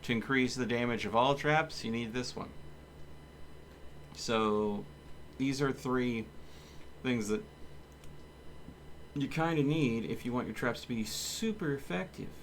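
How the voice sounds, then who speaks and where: A man's voice: medium pitch, a little slow, pauses often, a little hiss or hum. A man giving casual, blunt and grumbling live commentary while streaming a game. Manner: blunt, grumbling, mildly cursing.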